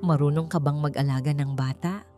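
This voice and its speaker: A woman narrator plays a cold, brusque man of wealth in a romance audiobook. He speaks in blunt, impatient demands with a rough edge and some desperation. The register sits fairly low, and music plays underneath. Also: fairly even intonation, a little fast, close to an everyday voice. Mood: blunt, desperate. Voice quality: rough-voiced.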